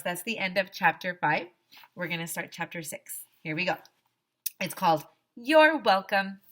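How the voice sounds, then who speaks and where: A woman teacher reading a children's story aloud in an expressive, emphatic way. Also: medium pitch.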